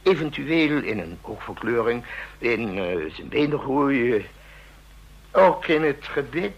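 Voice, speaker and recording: A man's voice: mid-range voice, big pitch swings, breathy edge, dull audio, full character voice. Een man die in een hoorspel een babbelzieke, scherpzinnige oude tandarts speelt, bedachtzaam en licht dreigend, vol gemompelde herhalingen. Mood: lecturing, amused. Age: older. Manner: deliberate, musing, with murmured repeats and rhetorical questions. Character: chatty old dentist, shrewd, musing, quietly menacing. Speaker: dentist. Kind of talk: radio drama acting.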